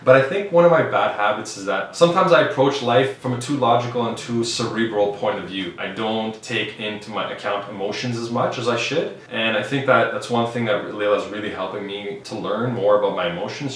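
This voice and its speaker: Low-voiced man in an echoey room. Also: a little fast, hardly any pauses. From Canada. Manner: relaxed, thoughtful, with frequent fillers and restarts. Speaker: vlogger, high school science teacher. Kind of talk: casual personal vlog. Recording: very echoey room, faint background noise.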